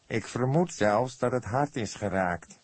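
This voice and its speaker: A man voicing a small old coroner in a detective audiobook, deliberate, formal and grumbling. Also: mid-range voice, expressive, no echo, pitched above the performer's own voice.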